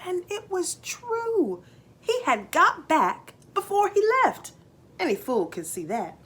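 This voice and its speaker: A woman narrates a folk tale for children with expressive, dramatic flair. Her voice is bright and forceful, swinging widely in pitch while she takes her time over folksy phrasing. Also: slow pace. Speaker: storyteller, teaching artist. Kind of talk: animated children's story narration.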